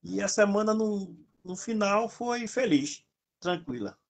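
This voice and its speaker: A man talks on a video call in a calm, reflective way, pausing as he searches for words. His voice sits a little high for a man. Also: creaky. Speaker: group participant. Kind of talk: casual chat on a video call.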